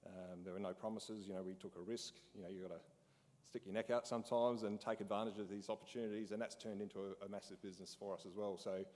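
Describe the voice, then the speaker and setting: A business owner giving an informal talk at a briefing, halting and full of fillers. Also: male voice, fairly low pitch, level tone, darkish tone. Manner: casual, halting, many fillers and restarts. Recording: long hall reverb.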